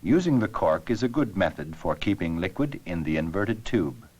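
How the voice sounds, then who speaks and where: A man narrating an educational science film with scripted, measured, explanatory delivery. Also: sits low, very animated, darkish tone, slightly rough, muffled recording.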